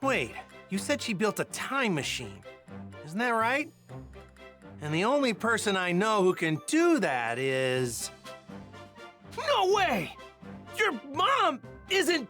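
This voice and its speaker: A woman voicing a naive, cheerful, battle-hungry male martial arts hero in an anime video game dub, with a folksy, boyish, excitable manner. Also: mid-range voice, slow, pauses often, soft background music, heavily acted. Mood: amazed.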